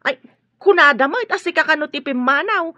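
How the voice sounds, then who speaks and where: Casting: woman as scatterbrained, nosy servant, sharp high voice with swooping delivery, audio drama. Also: animated, a little fast, no room sound. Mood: flustered. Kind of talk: Ilocano audio drama acting.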